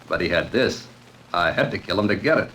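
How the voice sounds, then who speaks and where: An American actor playing a cold, cocky, greedy hired killer in a 1940s radio crime drama. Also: male voice, low-pitched, lively intonation, fairly quick, a bit bright, low-quality recording. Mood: smug. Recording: old radio recording with faint noise.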